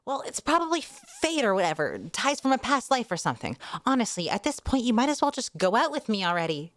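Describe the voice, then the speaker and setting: A woman's voice: a little high, very animated, fairly quick, fairly bright tone, dry recording, clean studio sound, pitched above the performer's own voice. A woman voicing an earnest, goofy teenage boy in a storybook reading, slangy and pleading in an exaggerated way. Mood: cheeky.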